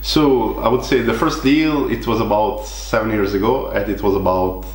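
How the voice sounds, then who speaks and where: A man's voice: fairly low pitch, fairly even intonation, moderate pace, reverberant, poor audio. A man from Italy, a native Italian speaker, answering interview questions in casual, hesitant English with frequent restarts. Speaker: guest, property investor.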